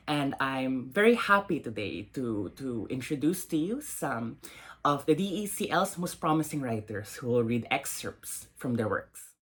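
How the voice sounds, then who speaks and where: A Filipino woman giving a formal scripted introduction as a presenter, in measured phrases. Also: extremely low pitch, husky. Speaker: presenter, university instructor.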